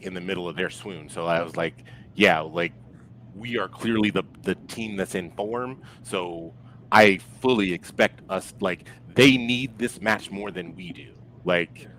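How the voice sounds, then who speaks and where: Male football podcast guest, deep voice, halting casual delivery, faint noise. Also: a bit bright, low-quality recording. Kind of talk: casual sports podcast chat.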